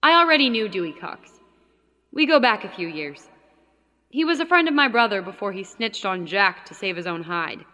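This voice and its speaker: A woman playing a sharp-tongued, jittery, scheming wife in a horror noir audio drama, with a dramatic, bossy, sardonic manner. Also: mid-range voice, expressive, normal speed, long reverb tail. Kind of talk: horror audio drama dialogue and first-person narration.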